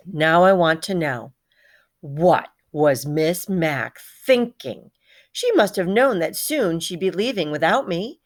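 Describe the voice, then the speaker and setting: A small male pet hamster tells his own storybook tale, acted out by a woman reader. He is bright, proud and easily heartbroken. The delivery is dramatic, in a slightly low register, with words repeated for emphasis. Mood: hurt, indignant. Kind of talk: children's storybook read-aloud in first person.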